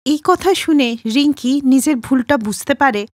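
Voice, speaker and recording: Casting: female narrator for children's tales, high, lively intonation, dry studio sound. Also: moderate pace, dry recording. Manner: expressive. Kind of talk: scripted children's story narration.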